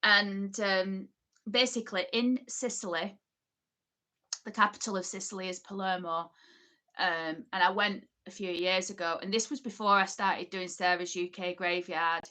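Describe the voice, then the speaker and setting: Bright-voiced Oldham woman chatting. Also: medium pitch, fairly even intonation, moderate pace. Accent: Oldham, northern English. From England. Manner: chatty, rambling, many ums and asides. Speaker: livestream host. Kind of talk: casual livestream chat reading comments.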